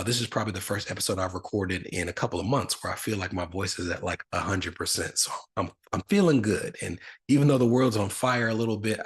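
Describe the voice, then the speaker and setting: Guy hosting a podcast, deep and a bit husky, just chatting through the topic. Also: a bit bright. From United States. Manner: conversational, explains plainly with asides. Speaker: podcast host. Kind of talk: casual explanatory podcast talk.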